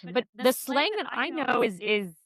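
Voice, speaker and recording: A woman from South Florida with a very bright, forward voice at an ordinary pitch. She talks casually as a podcast co-host, and the sound is close and dry. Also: very animated, unhurried, no echo. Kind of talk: casual chat.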